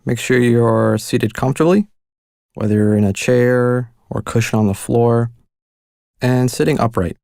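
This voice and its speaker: A man leading a guided meditation, giving calm, gentle instructions with unhurried pauses. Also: mid-range voice, gravelly, no echo, studio quality.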